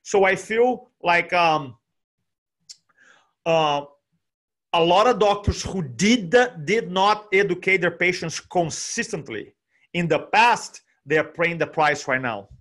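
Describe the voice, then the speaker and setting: Animated man with a Brazilian accent. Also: sits high, slow pace. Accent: Brazilian Portuguese. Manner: animated, gestures broadly, repeats phrases for emphasis. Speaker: guest speaker. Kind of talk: energetic motivational talk. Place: small room at home. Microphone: desk microphone on video call.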